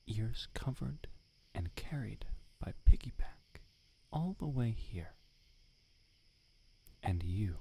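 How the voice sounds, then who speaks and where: A man's voice: lowish voice, mellow, a little hiss or hum, heavily acted, rough recording. A man playing a prickly, irritable village swordsmith, in whispered, sarcastic roleplay ASMR. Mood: exasperated. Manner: whispered, exasperated, sarcastic scolding. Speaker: ASMR voice actor.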